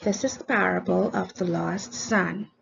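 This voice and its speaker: Creaky-voiced woman reading a story to students. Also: on the low side, steady tone, a little slow. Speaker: teacher reading aloud to students. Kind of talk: scripted read-along storytelling. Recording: dull lo-fi recording, faint background noise.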